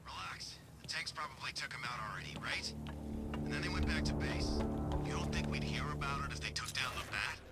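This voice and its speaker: A man's voice: mid-range voice, expressive, a little fast, lightly acted. A man playing a scared, jumpy militia grunt. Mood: uneasy. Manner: nervous banter, hushed and jumpy. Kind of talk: video game cutscene acting. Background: game score music.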